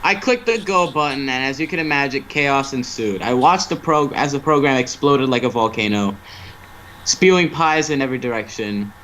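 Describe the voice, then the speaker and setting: A man's voice: mid-range voice, lively intonation, moderate pace, sharp tone. Man narrating a story, slightly noisy mic. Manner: stumbling over words and rereading phrases. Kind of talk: amateur story reading.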